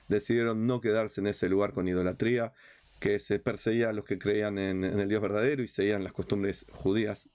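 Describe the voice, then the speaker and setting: Low-voiced Uruguayan man teaching, muffled sound. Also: darkish tone. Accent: Rioplatense Uruguayan. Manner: didactic, emphatic, with rhetorical questions and repetition. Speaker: rabbi teacher. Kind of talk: informal religious history lecture.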